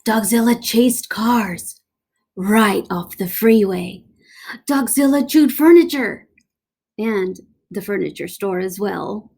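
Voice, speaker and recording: A woman reading a children's picture book aloud like a teacher, dramatic and deliberate, with playful asides. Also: mid-range voice, vocal fry, a little mellow, breathy edge.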